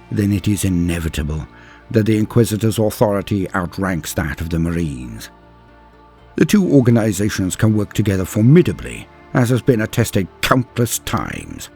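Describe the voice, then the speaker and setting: A man with a husky voice narrating scripted fantasy lore in a theatrical, portentous manner with deliberate pauses. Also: on the low side, big pitch swings, darkish tone. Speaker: narrator and host of a lore channel. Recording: music playing behind the voice. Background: background music.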